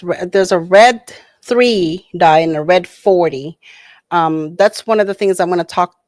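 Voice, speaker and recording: A woman's voice: on the low side, dry recording. A middle-aged woman from the Philippines, reacting to videos in a chatty, hesitant way with many fillers and restarts. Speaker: reaction channel host. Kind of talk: casual reaction commentary.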